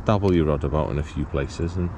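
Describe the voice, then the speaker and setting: British man, deep voice, informal angling chat, colloquial, questioning, outdoor action camera, clearly noisy surroundings. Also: fast talker. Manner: informal, colloquial, musing aloud with frequent questions. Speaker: angler presenting a fishing video. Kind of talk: casual chat to viewers while fishing. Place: outdoors beside a small pond. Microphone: body-worn action camera. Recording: clear outdoor ambient and traffic noise.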